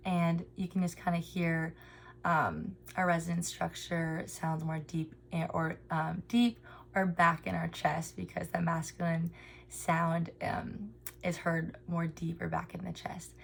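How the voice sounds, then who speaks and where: A woman's voice: on the low side, level tone, a little slow, vocal fry. A young woman in her twenties presenting a speech therapy lesson in an earnest, informal way, with frequent ums.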